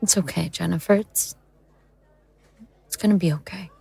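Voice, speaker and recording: A woman's voice: lowish voice, normal speed, creaky, mellow, slightly rough, dry recording, full character voice. A woman playing a soothing, decisive investigator of the occult in an audio drama.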